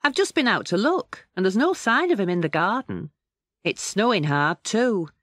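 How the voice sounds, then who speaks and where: A woman playing an anxious, caring village wife in a children's story, gentle and worried. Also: mid-range voice, very animated, normal speed, dry recording, studio quality.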